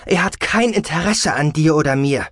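A man's voice: a little high, lively intonation, moderate pace, a bit bright, no echo, studio quality, pitched above the performer's own voice. A man voicing a guarded, irritable mother in an audiobook, curt and exasperated. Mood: stern, exasperated. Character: stern, guarded, irritable mother of a teenage girl. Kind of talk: dramatic audiobook character acting.

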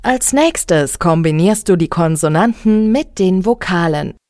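A woman giving a speech training lesson, talking in a clear, deliberate, carefully articulated way. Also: fairly low pitch, expressive, darkish tone, dry recording, clean studio sound. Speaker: speech coach. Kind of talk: instructional speech exercise tutorial.

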